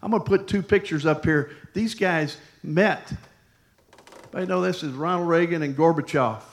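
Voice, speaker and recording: A man, a pastor, preaching a sermon in a conversational, storytelling way with lively asides to the congregation. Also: fairly high pitch.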